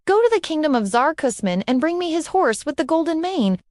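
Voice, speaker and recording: A woman's voice: sits high, sing-song, brisk, a little mellow, no room sound, studio quality, voice pushed up. A woman voicing a stern, scornful male Tsar in a fairy tale. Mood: commanding.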